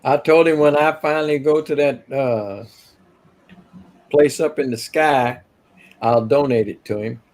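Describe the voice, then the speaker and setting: A man's voice: mid-range voice, fairly even intonation, measured pace, pauses often, a little mellow. A man in his sixties co-hosting a casual livestream, easygoing and teasing, laughing often. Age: 60s. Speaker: co-host. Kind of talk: casual chat.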